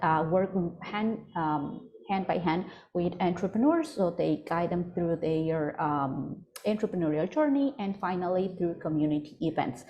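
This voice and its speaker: Webinar presenter: woman with an Ecuadorian Spanish accent, lowish voice, halting delivery, video call audio. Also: darkish tone, rough recording. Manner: hesitant, many fillers and self-corrections. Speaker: project coordinator presenting a webinar. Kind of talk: virtual presentation. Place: home office in Vancouver.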